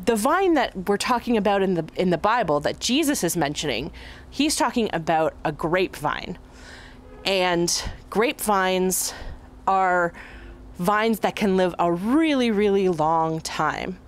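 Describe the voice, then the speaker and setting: A young woman outdoors in a garden, gently explaining things in simple words and wondering aloud with her listeners. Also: mid-range voice, animated, a little slow. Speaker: children's time leader. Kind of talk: gentle teaching talk.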